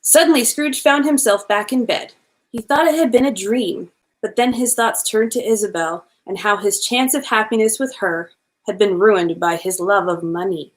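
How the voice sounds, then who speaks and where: A woman reading a children's Christmas storybook aloud in an expressive, animated way, with playful asides. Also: medium pitch, normal speed, poor audio.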